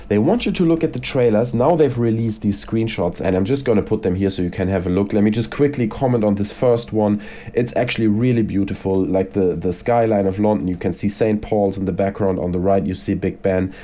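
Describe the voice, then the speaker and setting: A man's voice: lowish voice, fairly quick, mellow. Man with a cold, chatty and opinionated. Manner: chatty and opinionated, rants with frequent "you know" and "right?". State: has a cold, voice slightly congested. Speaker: gaming YouTuber. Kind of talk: casual opinion vlog.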